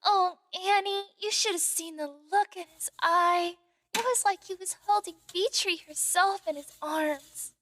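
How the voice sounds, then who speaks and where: An actress plays a young palace woman who loves to gossip, in a fantasy audio drama. Her voice is high and expressive. She is chatty and excitable, with a theatrical delivery. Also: a little slow. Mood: gossipy, excited.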